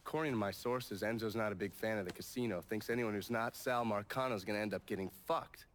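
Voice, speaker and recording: An actor playing a world-weary, wisecracking government agent in a video game, with an American accent and a dry, profane delivery. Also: male voice, on the low side, fast talker, a little hiss or hum, close to an everyday voice. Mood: briefing, dry. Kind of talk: dramatic video game cutscene acting.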